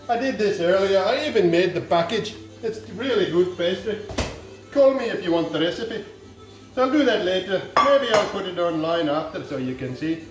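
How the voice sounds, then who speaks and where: A boastful Irish home cook, as a man puts him on, rambles through a recipe in a thick put-on Irish accent, dropping deadpan absurd asides. His voice sits high for a man and lands somewhat distant from the mic. The room is echoey, with faint music underneath. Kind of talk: comic cooking demonstration.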